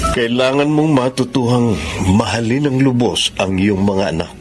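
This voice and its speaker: A man playing a pensive, tender-hearted middle-aged Filipino father, speaking in a measured, earnest melodrama style. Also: lowish voice, close to an everyday voice. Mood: reflective. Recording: music playing behind the voice.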